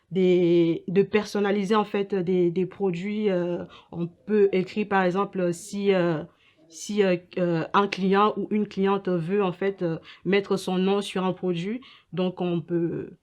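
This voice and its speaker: A young woman from Ghana and Togo giving halting interview answers as an entrepreneur, often repeating her words. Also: lowish voice, darkish tone. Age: young adult. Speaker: entrepreneur and student being interviewed. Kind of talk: interview answers about own business.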